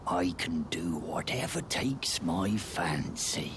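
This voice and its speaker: An actor playing a spiteful, sneering British lackey in a fantasy game, with a breathy, half-whispered voice and a drawn-out, mocking delivery. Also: male voice, low voice, sing-song, background noise, heavily acted. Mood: gloating, sly. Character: spiteful, sneering male lackey gloating over his fallen master. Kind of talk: dramatic fantasy game acting.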